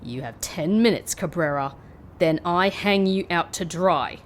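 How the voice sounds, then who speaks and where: An actress playing a guarded, sardonic former secret agent in an audio drama, polite and measured with a dry wit. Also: female voice, on the low side, expressive, a little hiss or hum. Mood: irritated, stern. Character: guarded, sardonic woman, former secret agent turned call-centre worker.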